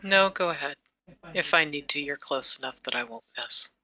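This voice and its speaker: A woman's voice: low-pitched, animated, normal speed, pushed and bright, low-quality recording. A woman playing a tabletop game, chatting in character with a dry, laughing manner. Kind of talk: in-character roleplay chat.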